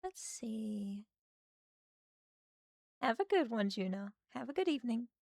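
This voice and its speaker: A woman with a slightly hoarse voice from a sore throat, chatting casually and thinking aloud while she draws on a livestream. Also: fairly high pitch, sing-song, a little slow, a little mellow, no echo, clean studio sound. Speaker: art streamer.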